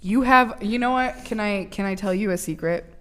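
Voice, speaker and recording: A woman's voice: medium pitch, normal speed, a bit bright. Teasing woman in her late twenties. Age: 20s. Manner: teasing, big-sisterly, swears freely. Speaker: podcast co-host. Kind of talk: casual chat.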